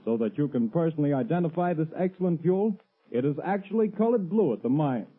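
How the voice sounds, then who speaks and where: A man's voice: fairly high pitch, animated, fast. A man working as a radio announcer, reading a sponsor's commercial in a polished, formal 1930s style. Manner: polished, formal salesman delivery. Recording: muffled, narrow old radio recording.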